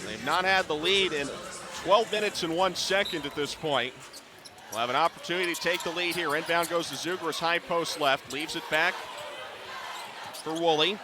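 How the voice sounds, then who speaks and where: Higher-voiced male basketball play-by-play announcer. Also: moderate pace, noisy background. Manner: clipped running descriptions, rising to excited calls. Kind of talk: live radio sports commentary. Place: courtside in a basketball arena.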